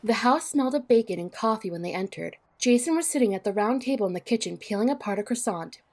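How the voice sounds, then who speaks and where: A woman's voice: mid-range voice, lively intonation, fast, darkish tone. A woman narrator reading scripted story narration for an audio drama.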